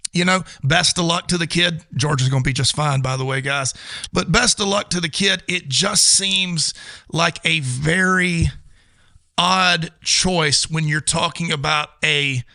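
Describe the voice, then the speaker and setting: A man's voice: on the high side, fairly even intonation, measured pace, gravelly, pushed and bright, no echo, clean studio sound. An American man co-hosting a sports talk show, giving casual, opinionated commentary in an emphatic way.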